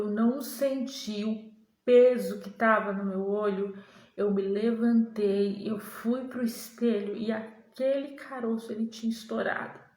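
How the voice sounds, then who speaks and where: A young woman giving a slow, earnest personal religious testimony to the camera, halting and restarting as she recalls things. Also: mid-range voice, darkish tone, echoey room, low-quality recording. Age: young adult.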